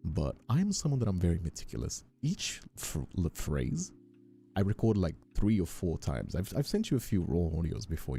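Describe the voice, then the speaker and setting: A man whose first language is Spanish chats casually as a voice actor and creator, halting and often repeating himself. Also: low voice, creaky, mellow. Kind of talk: casual chat. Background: music.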